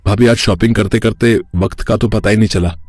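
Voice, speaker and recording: Low-voiced, eager young brother-in-law played by a man. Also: fairly even intonation, brisk, a little mellow, no echo, clean studio sound, lightly acted. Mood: playful. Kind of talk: cartoon story character acting.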